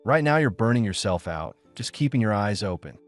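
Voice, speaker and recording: Male reader as protective man in sci-fi audiobook; composed, clipped, matter-of-fact, with expressive intonation. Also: on the low side, fairly quick, faint music behind, lightly acted. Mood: calm, firm. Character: calm, steady, protective male rescuer in sci-fi. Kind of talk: audiobook character dialogue.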